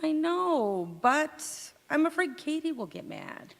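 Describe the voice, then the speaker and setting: Woman acting a wisecracking, unhurried radio housewife. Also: high voice, big pitch swings, vocal fry, heavily acted. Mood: flustered. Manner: dry, wisecracking retorts. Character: feisty, sarcastic 1940s sitcom housewife. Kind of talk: 1940s radio comedy acting, staged revival. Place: community theatre stage in a church. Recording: echoey hall, long reverb.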